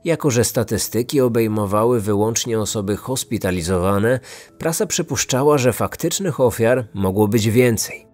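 A man narrating a scripted true-crime podcast in a serious, measured storytelling manner. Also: mid-range voice, a little mellow.

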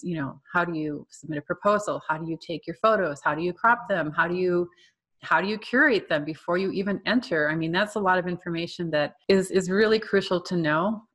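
A woman with a slightly low voice presents to an online art class. She is an artist and teacher who talks informally, as if chatting. Her delivery is conversational and wanders into asides as she explains her slides. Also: a bit bright.

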